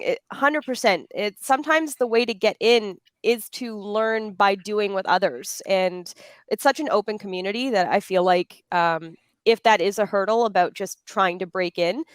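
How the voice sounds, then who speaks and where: Smooth, clear-voiced woman moderating a panel. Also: mid-range voice, no echo. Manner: affirming, encouraging.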